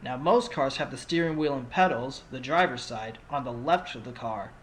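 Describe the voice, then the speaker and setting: A man's voice: mid-range voice, moderate pace, a bit bright, natural voice. A man playing an earnest, determined young defense lawyer in an amateur courtroom audio drama, with music behind him. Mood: forceful, accusing.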